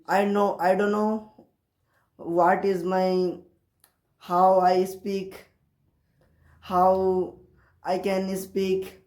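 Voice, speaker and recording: A woman with an Indian accent and Hindi as her native language, talking casually and haltingly in learner's English, repeating herself to encourage viewers. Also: medium pitch, little pitch movement, darkish tone. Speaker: English-learning video creator. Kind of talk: casual motivational talk to camera. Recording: roomy, echoey sound.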